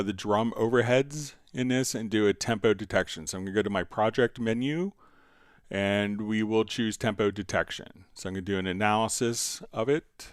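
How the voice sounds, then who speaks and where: A man hosting a tutorial stream, casually talking through each step of a software demonstration as he works. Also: fairly low pitch, smooth. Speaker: host answering viewer questions on a software tutorial stream.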